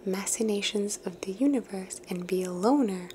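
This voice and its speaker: ASMR host, woman, gentle unvoiced whisper, reflective, close quiet delivery over a faint steady hiss. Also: medium pitch, normal speed. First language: English. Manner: soft unvoiced whisper, musing and thoughtful.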